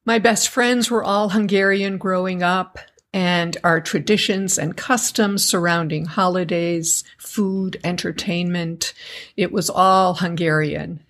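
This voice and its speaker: A middle-aged woman from the United States, answering interview questions in a measured, articulate way. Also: lowish voice, fairly even intonation, a bit bright. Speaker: guest, educators association member.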